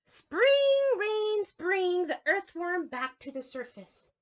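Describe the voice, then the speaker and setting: A woman's voice: very high-pitched, very animated, slow, highs cut off. A woman preschool teacher reads a picture book aloud to young children, expressively drawing out words and addressing them directly.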